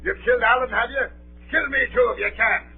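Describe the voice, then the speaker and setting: High-voiced, defiant loyal sailor. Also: male voice, steady tone, fast talker, breathy edge. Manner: declamatory, rustic seaman's speech. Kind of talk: 1930s radio acting. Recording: tinny, noisy 1930s radio, humming.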